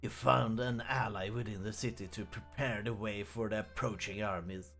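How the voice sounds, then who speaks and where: A man's voice: lowish voice, fairly even intonation, moderate pace, fairly bright tone, soft background music. A man hosting a let's play, reading the game's text aloud and often restarting words as he stumbles.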